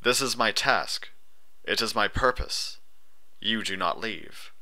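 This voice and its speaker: A man's voice: on the low side, slow, pushed and bright, heavily acted. A man voicing a dispassionate ghostly unicorn spirit, speaking in solemn pronouncements for an audiobook story. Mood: cold, solemn. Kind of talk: audiobook character voice.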